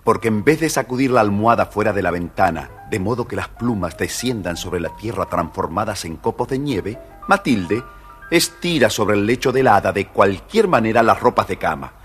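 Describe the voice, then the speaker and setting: A man's voice: on the low side, animated, moderate pace, faint music behind. A man narrating a scripted children's story as the storyteller. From Argentina.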